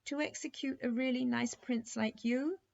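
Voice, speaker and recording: A woman's voice: sits high, steady tone, moderate pace, creaky, a little mellow, pitched above the performer's own voice. A woman voicing a sensitive little princess in a storybook, with an emphatic, childishly dignified manner. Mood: indignant. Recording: dull lo-fi recording.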